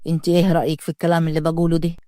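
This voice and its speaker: A woman playing stern man, commanding, curt, fast, mellow low voice. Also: monotone, no echo, clean studio sound, close to an everyday voice. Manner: commanding, curt, lays down rules. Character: stern domineering Upper Egyptian man, strict father.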